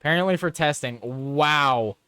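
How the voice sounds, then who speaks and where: A man's voice: fairly high pitch, normal speed, sharp tone, clean tone, no room sound. A man hosting a live political show, reading headlines aloud and chatting casually with his viewers, swearing and repeating himself as he reacts.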